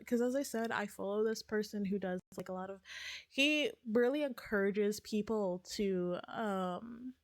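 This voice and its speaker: Woman, slightly raspy, rambling livestream chat, mid pitch, dry close sound. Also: dry recording. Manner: rambling, many fillers and restarts. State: getting sick. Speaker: streamer.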